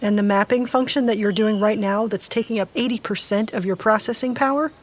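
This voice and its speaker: An actress playing a fiery, protective aunt in an audio drama, speaking bluntly and with emotion. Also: female voice, medium pitch, fairly quick, natural voice. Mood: urgent, pleading. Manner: blunt, urgent questioning.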